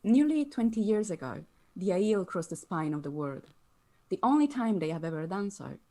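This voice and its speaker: Casting: woman to play solemn fantasy sorceress, medium pitch, calm storyteller delivery, table-read drama. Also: moderate pace.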